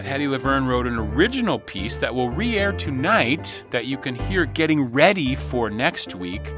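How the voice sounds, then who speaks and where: A man hosting a radio show, chatting casually and live from the studio. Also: mid-range voice.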